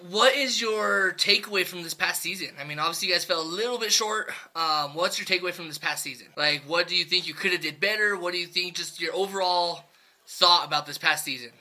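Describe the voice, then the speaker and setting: A man's voice: high voice, a little fast, bright, forceful tone. A man hosting a podcast interview, asking long, rambling questions in an easygoing, chatty way. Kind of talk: casual interview chat.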